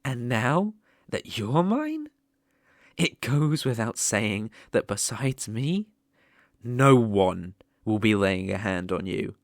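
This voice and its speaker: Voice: mid-range voice, very animated, slow pace, dry recording, studio quality. A British-accented performer voicing a sadistic, possessive demon ruler in a scripted ASMR roleplay, with a mocking, haughty, theatrical manner. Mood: possessive, commanding.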